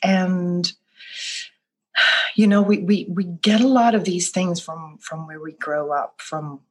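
A woman's voice: on the low side, fairly even intonation, takes it slowly. A middle-aged woman from Sweden, a life coach whose first language is Swedish, talking thoughtfully about her path in an interview with many restarts. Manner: soft-spoken, thoughtful, with frequent restarts. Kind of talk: reflective interview answer.

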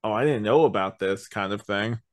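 A man with a fairly low voice talks as a podcast co-host in a casual review chat. He rambles through his explanations, often stopping and restarting a thought. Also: a little fast, noisy background.

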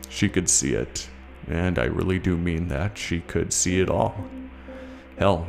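A man playing a bitter, haunted military veteran in a gravelly, confiding horror story monologue, profane and speaking straight to the listener. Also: sits low, full character voice. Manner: conversational, confiding, addresses the listener directly. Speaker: narrator of a horror short story. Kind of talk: scripted first-person horror monologue. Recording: voice over a music soundtrack.

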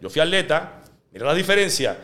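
A man with a Puerto Rican Spanish accent, a sports journalist telling stories in an interview and acting out the quotes. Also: a little high. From Puerto Rico. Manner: animated, fast storyteller who acts out quotes. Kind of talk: interview storytelling.

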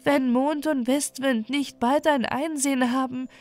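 A woman voicing a gentle little moor princess in a storybook, speaking softly and pleadingly in a high voice. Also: a little slow. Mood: sad. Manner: soft, pleading.

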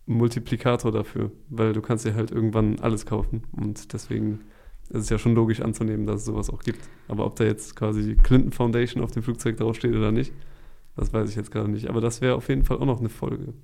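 Young man, gravelly low voice, slangy chat. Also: flat delivery, quick pace, dark tone. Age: young adult. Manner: laid-back, slangy, joking. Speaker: podcast host. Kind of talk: casual chat, youth slang.

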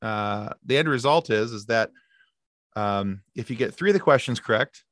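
A man guesting on a podcast, telling stories in a lively chatty way with quick asides and restarts. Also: mid-range voice, no echo, studio quality. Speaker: podcast guest, sci-fi podcast co-host. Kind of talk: casual interview chat.

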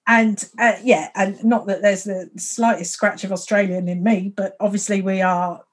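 A woman hosts a relaxed conversation. She rambles from thought to thought, laughs often, and speaks at an ordinary, mid-range pitch. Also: normal speed. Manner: rambling, laughs often, frequent fillers and restarts. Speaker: podcast host. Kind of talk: casual conversation.

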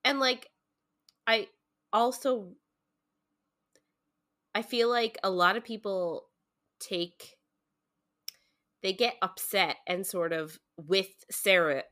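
A woman's voice: medium pitch, slow, long pauses, sharp tone. A woman co-hosting a podcast in a casual book discussion, speaking in an earnest, informal way and hedging her opinions as she goes.

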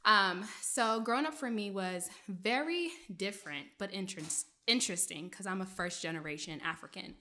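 Young woman, journalist, raised in Maryland, first language French; bright tone, loose conversational delivery with fillers. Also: medium pitch, normal speed, few pauses, a little hiss or hum. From Maryland, USA. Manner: chatty, many fillers, laughs often. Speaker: journalist, guest. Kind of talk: casual interview storytelling.

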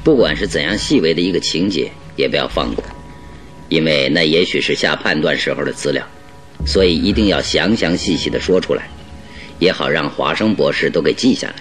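An actor playing a calm, good-humoured detective in a Chinese radio drama, speaking politely and deliberately with a teasing streak. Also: male voice, a bass voice, soft background music. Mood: courteous, attentive.

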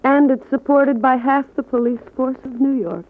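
An American actress playing a sassy young dame in a 1940s radio crime drama. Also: female voice, high voice, steady tone, normal speed, close to an everyday voice. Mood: cool, guarded. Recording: tinny band-limited old radio broadcast.

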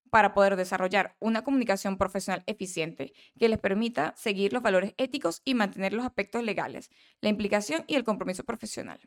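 A young woman, a nursing student, presenting her first podcast episode and explaining the roles of nurses in a careful, earnest way. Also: medium pitch. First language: not Catalan. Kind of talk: read-aloud educational podcast.

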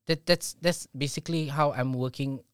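Earnest middle-aged guest, mid pitch, halting restarts, dry recording. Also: male voice, fairly even intonation, clean tone, no room sound. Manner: earnest, repeats words when starting sentences. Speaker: business owner guest. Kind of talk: casual interview chat.

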